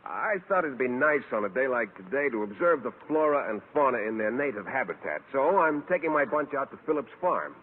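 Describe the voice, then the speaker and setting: A man playing a mild, naive high school science teacher, polite and earnest, in a vintage radio sitcom. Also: very animated, a little fast, hardly any pauses, natural voice. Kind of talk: 1940s-50s radio sitcom acting. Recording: tinny vintage radio recording, faint noise.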